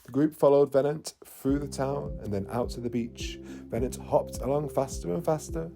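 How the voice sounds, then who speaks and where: A man narrating a children's bedtime story in an expressive storytelling manner. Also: mid-range voice, moderate pace, gravelly. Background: faint music.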